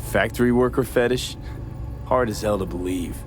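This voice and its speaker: Actor as a low-voiced, wry male mercenary. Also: moderate pace, faint music behind, lightly acted. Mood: wry, analytical. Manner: terse, sardonic. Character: gruff, wry male cyberpunk mercenary investigator. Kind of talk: video game voice acting.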